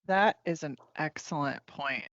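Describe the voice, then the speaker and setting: A man's voice: a little high, unhurried, fairly bright tone, no echo, lo-fi sound. A man hosting a conversational business podcast as a tax advisor, agreeable in his responses. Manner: agreeable, chuckles mid-sentence.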